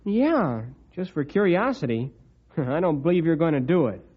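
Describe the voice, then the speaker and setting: A high, very lively voice for an eager young ranger pal. He is chatty, folksy and good-natured, in old radio drama style on a dull vintage recording. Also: moderate pace. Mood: skeptical.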